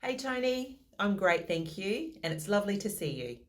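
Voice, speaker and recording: A woman's voice: mid-range voice, big pitch swings, normal speed. A modest, thoughtful woman answering interview questions over a video call. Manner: modest, thoughtful, some restarts.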